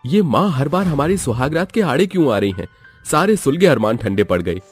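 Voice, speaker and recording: A man playing a romantic, playful young newlywed husband in an animated family story, sweet-talking in a drawn-out way. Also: medium pitch, faint music behind, close to an everyday voice. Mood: puzzled, frustrated.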